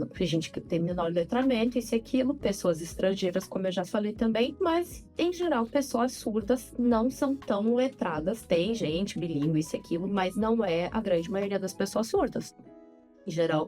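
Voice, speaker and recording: Animated woman, mellow voice. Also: mid-range voice, soft background music. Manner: animated, talks fast with many repeats. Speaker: podcast guest. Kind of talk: casual interview conversation.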